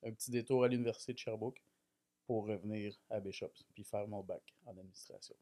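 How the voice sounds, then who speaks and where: A man in his thirties with a Quebec French accent, a podcast guest telling his life story in a relaxed, self-deprecating way with many fillers. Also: on the low side, brisk. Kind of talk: casual chat.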